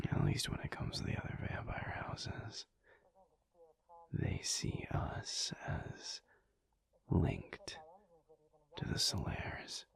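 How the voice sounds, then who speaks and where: A man playing a calm, brooding alpha werewolf pack leader in an intimate audio roleplay, speaking thoughtfully in a breathy, gravelly near-whisper with halting pauses. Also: extremely low pitch, exaggerated voice. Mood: serious, measured. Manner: slow, halting, pensive delivery.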